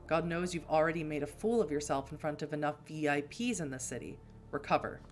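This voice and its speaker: A woman's voice: sits low, brisk, faint music behind, natural voice. A woman voicing a brusque, blunt young woman in a story game, with an American accent. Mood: flustered. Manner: curt and brusque. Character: gruff blunt woman, a brash young outsider.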